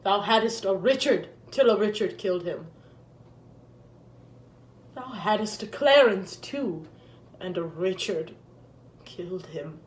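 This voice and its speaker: A woman's voice: medium pitch, animated, slow pace, pauses often, slight background noise. A woman playing a grieving, vengeful deposed queen in a Shakespearean stage monologue, declaiming solemnly and bitterly. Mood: bitter grief. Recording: echoey room.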